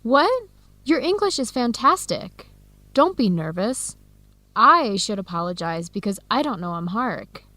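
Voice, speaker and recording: An actress with an American accent playing an eager, friendly researcher abroad in an educational radio drama, speaking with enthusiasm. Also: female voice, medium pitch, very animated, a little slow, pushed and bright, no room sound. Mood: encouraging. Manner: enthusiastic, encouraging. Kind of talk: radio drama acting, clear educational dialogue.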